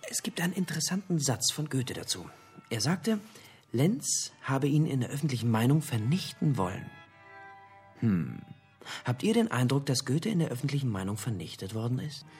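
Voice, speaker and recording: An actor playing a male literary scholar in a radio crime drama, explaining theories in a husky, didactic way. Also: mid-range voice, sing-song, normal speed, darkish tone, faint music behind, exaggerated voice. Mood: explaining, intrigued. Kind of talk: German radio play dialogue.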